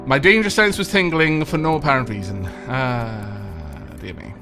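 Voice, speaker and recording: A man's voice: mid-range voice, moderate pace, pushed and bright. A man with a slight cold, chatting playfully to himself and the game's tenants as he plays. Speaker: let's play host. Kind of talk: casual gameplay commentary. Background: game music.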